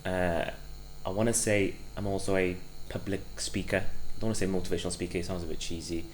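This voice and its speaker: A man in his twenties, from Afghanistan, with a Welsh accent, talking through his life in an interview in a reflective way, often pausing with fillers. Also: sits low, steady tone, a little hiss or hum, rough recording. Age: 20s. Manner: reflective, hesitant, many fillers. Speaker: guest. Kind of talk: casual interview.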